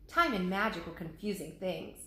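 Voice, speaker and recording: A woman, a teacher, reading a children's chapter book aloud with lively, expressive voices. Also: on the low side, moderate pace, reverberant.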